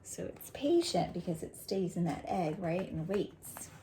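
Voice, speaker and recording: A woman reads and explains to young students in an encouraging, simple way. Her voice is mellow and relaxed, with a creaky edge. There is some faint noise in the recording behind her. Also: fairly low pitch, unhurried, rough recording. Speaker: teacher reading aloud to young students. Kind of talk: read-aloud lesson for children.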